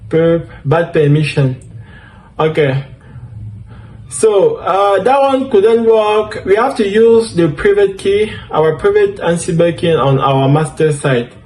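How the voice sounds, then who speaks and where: A French-native man walks viewers through a server setup tutorial with a fairly high voice. He speaks slowly, with plenty of fillers and self-corrections, and keeps calling each step awesome. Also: darkish tone, low-quality recording. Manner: unhurried, thinks aloud with many fillers and self-corrections; upbeat, keeps saying things are awesome. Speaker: tech tutorial host. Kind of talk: screen-recorded coding tutorial.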